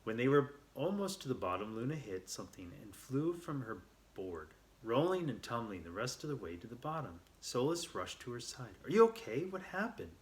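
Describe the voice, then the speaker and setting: A man telling a children's story aloud, reading a little haltingly and repeating words as he goes. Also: mid-range voice, very animated.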